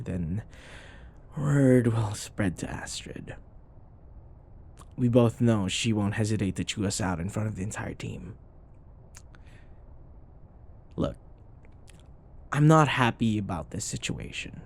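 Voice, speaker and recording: A man's voice: fairly low pitch, gravelly, darkish tone. A man playing a sarcastic, irritable office rival who taunts and scoffs, in a scripted boyfriend audio roleplay. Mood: terse, resigned. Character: snarky, irritable male office rival, teasing and combative.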